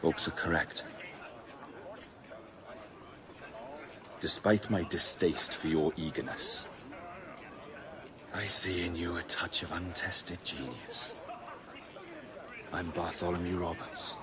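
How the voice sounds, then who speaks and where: An actor playing a shrewd, grandiose pirate captain, speaking in a theatrical, speechmaking way. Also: male voice, deep voice, steady tone, measured pace, long pauses, crowd noise. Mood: measured. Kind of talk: video game cutscene acting.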